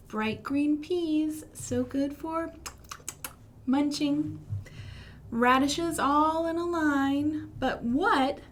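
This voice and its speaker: An American woman leading a storytime for young children in an expressive, sing-song way, with gasps and hushed emphasis. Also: high-pitched, a little hiss or hum. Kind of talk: children's storytime with singing, rhymes and asides.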